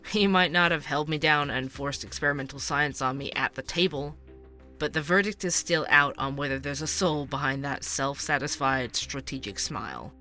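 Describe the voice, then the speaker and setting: A man's voice: on the high side, moderate pace, sharp tone, faint music behind. A man playing a candid, wry investigative podcast host in an audio drama, speaking conversationally. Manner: conversational, wry, hesitant asides. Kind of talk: fictional podcast narration and dialogue.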